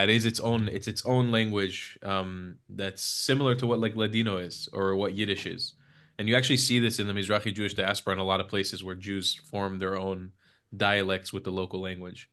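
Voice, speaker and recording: Young man, Hebrew first language, lowish voice, loose rambling guest chatter. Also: fairly even intonation, brisk, a bit bright. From United States. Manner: rambling, many fillers and restarts. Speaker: podcast guest. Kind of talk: casual conversational interview. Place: Israel.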